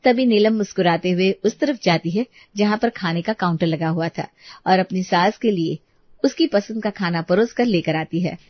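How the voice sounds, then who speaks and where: A woman's voice: fairly low pitch, normal speed, dry recording, highs cut off. A woman storyteller reading a scripted moral tale as narration.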